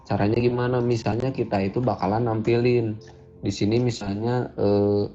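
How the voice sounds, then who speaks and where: Male coding instructor, laid-back, thinks out loud; low, warm voice; muffled lo-fi recording, faint music behind. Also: flat delivery, moderate pace. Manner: casual, thinks aloud while coding. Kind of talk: screen-recorded programming tutorial. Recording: dull lo-fi audio with faint music.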